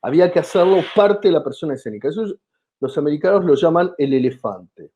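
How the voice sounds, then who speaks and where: A man's voice: fairly high pitch, expressive, fast, warm tone. A man from Argentina with an Argentine accent, explaining his ideas as a comedian in an interview, with many hesitations and restarts. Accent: Argentine Spanish. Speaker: comedian guest.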